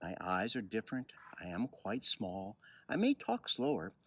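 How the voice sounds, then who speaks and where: An older man tells a personal story in a husky voice. His pitch swings widely and expressively, with the unhurried delivery of someone reading a picture book aloud to children. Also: huge pitch swings, fairly bright tone.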